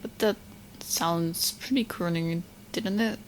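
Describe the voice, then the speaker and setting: A woman playing a sweet, clingy, lovestruck young witch in a soft, intimate ASMR roleplay, speaking in hesitant, doting phrases. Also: lowish voice, slow pace, a little hiss or hum, poor audio. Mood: doting, hopeful.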